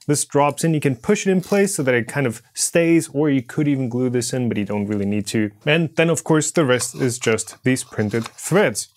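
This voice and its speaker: A man in his thirties presenting a hands-on maker tutorial in a casual, explanatory way. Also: medium pitch. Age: 30s. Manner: informal explanatory, hands-on demonstrating. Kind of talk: casual instructional product walkthrough. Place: indoor home studio workshop. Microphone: lapel mic.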